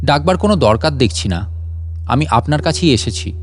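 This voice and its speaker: A man voicing a grumpy, impatient ghost intruder with a harsh, rasping voice in a story. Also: mid-range voice, lively intonation, a little fast, faint music behind, lightly acted. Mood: annoyed. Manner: curt, impatient. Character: grumpy, irritable male ghost intruder in the dark.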